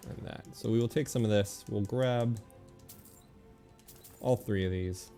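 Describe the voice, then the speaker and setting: A man giving casual video game commentary, thinking aloud in a relaxed, muttering way as he makes decisions. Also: fairly low pitch, a little slow, soft background music.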